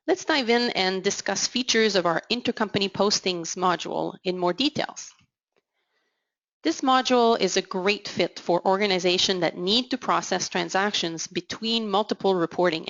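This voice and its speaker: Woman, low gravelly voice, measured software demo narration, dry dull recording. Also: dry recording. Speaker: software product presenter. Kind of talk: scripted product demo narration.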